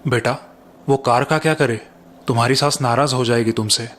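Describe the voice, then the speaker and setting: A man's voice: medium pitch, fairly even intonation, normal speed, a bit bright, close to an everyday voice. A man playing an anxious, earnest middle-aged father in a cartoon story. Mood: worried. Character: anxious, drained older father in a family cartoon. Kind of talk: Hindi cartoon story acting.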